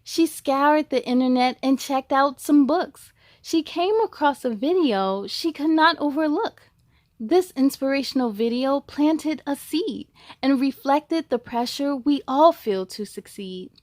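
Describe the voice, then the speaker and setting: A woman's voice: high voice, expressive, unhurried, darkish tone, dry recording, clean studio sound. A woman hosting a children's read-aloud, enthusiastic and speaking straight to young listeners, with storybook reading and chatty asides.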